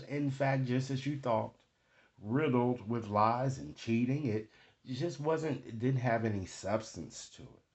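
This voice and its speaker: A man hosting an improvised tarot reading, speaking to viewers in halting phrases and often checking in with short tag questions. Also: mid-range voice, darkish tone, muffled recording. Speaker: tarot card reader, online host.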